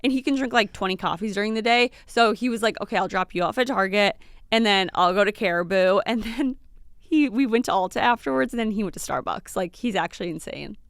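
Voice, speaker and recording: Woman, late twenties, podcast co-host, chatty, smooth clear voice, dry close sound. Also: mid-range voice, brisk, no room sound. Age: late 20s. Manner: chatty, fillers and little laughs. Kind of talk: casual chat.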